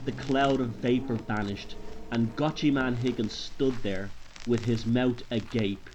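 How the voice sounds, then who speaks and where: A man's voice: mid-range voice, steady tone, unhurried, a little mellow, a little hiss or hum, rough recording. A man with an Irish accent narrating a scripted horror story in a dramatic storytelling style. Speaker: author and narrator. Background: music.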